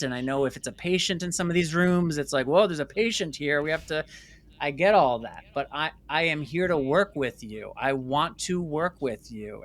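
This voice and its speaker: Bright-voiced, emphatic man in interview. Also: a little high. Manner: emphatic, repeats phrases for stress. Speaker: interview guest, filmmaker and former stage actor. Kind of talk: conversational storytelling interview.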